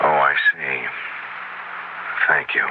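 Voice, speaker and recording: A man's voice: rumbling low, fairly even intonation, slow. An actor playing a sober, cautious, worried neighbor man with a grave, matter-of-fact manner in a 1970s radio drama. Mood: hesitant, polite. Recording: noisy, muffled 1970s radio broadcast.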